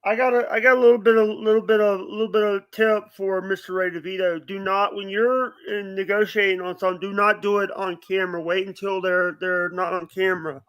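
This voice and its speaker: Male podcast guest, high and smooth voice, casual, stumbling delivery with repeated words, close dry sound. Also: flat delivery, a bit bright, low-quality recording. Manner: halting, repeats words and phrases. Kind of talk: casual chat.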